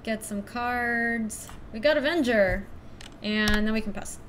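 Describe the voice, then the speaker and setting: Chatty, giggly woman streamer, fairly high voice. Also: slow pace, noisy background. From Ecuador. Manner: chatty, giggly, thinks aloud to chat. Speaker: streamer playing a card game. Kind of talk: casual live-stream commentary.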